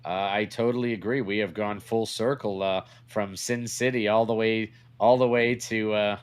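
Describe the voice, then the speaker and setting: A man's voice: on the low side, steady tone, normal speed. A man co-hosting a podcast in a casual, opinionated conversational style. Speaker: podcast co-host.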